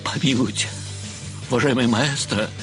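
A man's voice: mid-range voice, steady tone, normal speed, soft background music, natural voice. An actor in a radio play voicing a terrified, meek man who pleads in a panicky, deferential way. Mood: terrified pleading.

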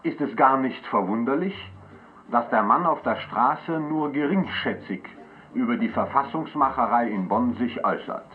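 An actor as a declamatory communist agitator, dull lo-fi sound. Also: male voice, mid-range voice, animated, normal speed, lightly acted. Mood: scornful. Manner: declamatory, polemical. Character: combative communist politician denouncing West German statehood, 1948. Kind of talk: agitating political speech. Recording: dull lo-fi radio sound, music.

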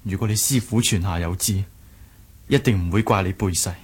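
Earnest young hero, low husky voice. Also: male voice, a little fast, darkish tone. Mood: pleading, hurt. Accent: Hong Kong Cantonese. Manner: earnest, emphatic pleading. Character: earnest young martial-arts hero, devoted but defensive fiancé. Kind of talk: Cantonese radio drama acting.

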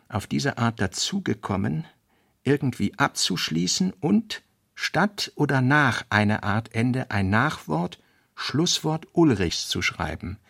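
A man's voice: mid-range voice, vocal fry, no echo, clean studio sound. A man reading scripted literary notes and essays for a radio play.

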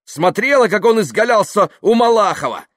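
A man's voice: deep voice, big pitch swings, unhurried, no room sound, studio quality, exaggerated voice. A man voicing a patronizing, hot-tempered businessman in a story, sliding between condescension and outraged bluster. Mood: furious accusation. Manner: smooth condescension breaking into outraged yelling.

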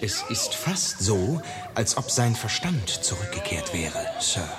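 A man's voice: lowish voice, big pitch swings, slow pace. An actor playing a deferential male asylum attendant in a German radio drama, apologetic and respectful. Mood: respectful, uneasy. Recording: long reverb with background music.

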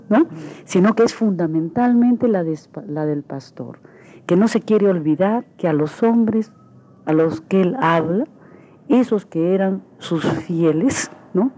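A woman's voice: on the low side, warm tone, lo-fi sound. A woman giving reflective expert commentary, often checking with small tag questions. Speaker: theology professor, guest expert. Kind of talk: unscripted explanatory commentary.

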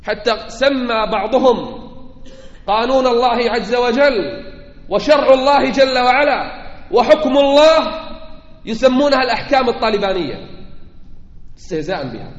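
A man preaches a fervent sermon in a high-pitched voice. His delivery is declamatory and rhetorical. The recording is slightly dull, with strong echo and a long reverberant tail from a large hall. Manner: fervent oratory, swinging from declamatory calls to quiet asides. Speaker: preacher giving a Friday sermon. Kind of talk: impassioned Islamic sermon, rhetorical questions.

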